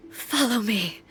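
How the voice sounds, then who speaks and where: Slow, solemn warrior goddess. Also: female voice, on the high side. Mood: resolute, weary. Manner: formal, measured, grave. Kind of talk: video game character acting. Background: music.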